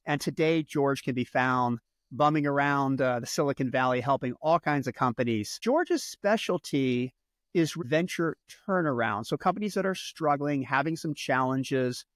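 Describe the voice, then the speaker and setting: A man's voice: mid-range voice, normal speed, no echo, clean studio sound. Upbeat male podcast host. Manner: upbeat, reading an introduction. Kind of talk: podcast interview intro.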